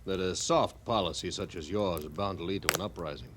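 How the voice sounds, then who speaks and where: Pompous, stern army major. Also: male voice, low voice, fairly quick, fairly bright tone. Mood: stern, insistent. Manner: formal, pompous, authoritative. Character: stern, haughty hard-line army major. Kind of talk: 1950s radio western acting. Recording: noisy old radio drama recording.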